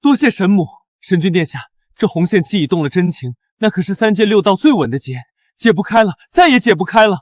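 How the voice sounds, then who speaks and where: An actor playing an old male matchmaker deity who speaks in a theatrical, drawn-out way. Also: high-pitched, sing-song, dry recording, clean studio sound. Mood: exultant. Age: older. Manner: theatrical, drawn-out, self-pitying. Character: old male matchmaker god, fussy and relieved.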